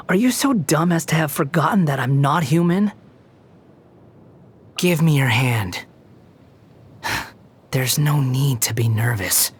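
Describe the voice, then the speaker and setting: A scornful young immortal wanderer for a video game. The voice is husky and sits at an average male pitch, with a haughty, sardonic edge to every line. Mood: grudging, haughty, then cool, aloof. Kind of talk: scripted video game character voice lines.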